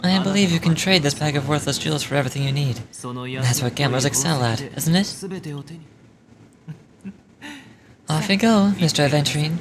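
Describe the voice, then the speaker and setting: A woman's voice: deep voice, quick pace, a crowd in the background, voice pushed down, lightly acted. A woman voicing a stern, sanctimonious male religious judge from a video game, speaking formally and measuredly. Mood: cool, measured. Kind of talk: reading game dialogue aloud in character.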